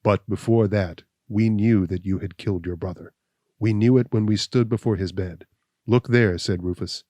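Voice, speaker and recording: A man voicing a stern, implacable accuser who speaks in a deep, level voice, slow and measured. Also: warm tone, no room sound.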